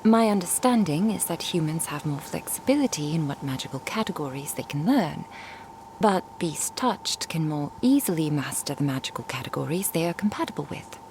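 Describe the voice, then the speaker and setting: A woman acts out a composed female merchant and master in an ASMR audio drama. Her slightly low voice moves through lively intonation as she speaks formally and inquisitively. Faint ambient noise sits behind her. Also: moderate pace. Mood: curious, composed.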